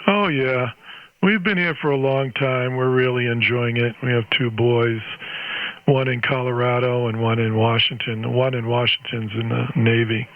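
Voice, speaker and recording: A man's voice: medium pitch, steady tone, moderate pace, a little hiss or hum. Dull radio-band voice, folksy older man. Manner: folksy, exclaiming often. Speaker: amateur radio operator and channel host. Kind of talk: friendly on-air radio contact chat. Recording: dull, band-limited ham radio audio.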